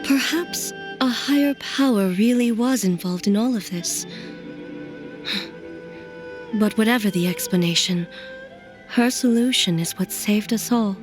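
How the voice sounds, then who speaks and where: Slow, solemn immortal queen over music woman acting. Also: mid-range voice, lightly acted. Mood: solemn, reflective. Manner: measured, formal, wistful. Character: solemn immortal female ruler, gentle and reflective, grieving. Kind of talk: video game dramatic dialogue. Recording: music playing behind.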